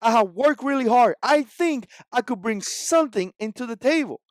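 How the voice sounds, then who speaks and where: A man's voice: very high voice, animated, moderate pace, no echo, clean studio sound. A man in his forties with a Cuban Spanish accent, Spanish his first language, giving an emphatic, repetitive opinion vlog. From Cuba. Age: 40s. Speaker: vlogger.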